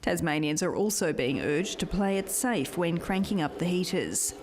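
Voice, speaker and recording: A woman's voice: fairly low pitch, lively intonation, moderate pace. Australian woman reporter, faint background noise. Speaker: news reporter. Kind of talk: scripted report narration.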